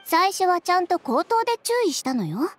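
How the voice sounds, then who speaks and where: A woman's voice: very high voice, sing-song, normal speed, faint music behind. An actress playing a poised, proud young woman in a video game, speaking with cool, haughty sarcasm. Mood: composed, justifying. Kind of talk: Japanese game voice acting.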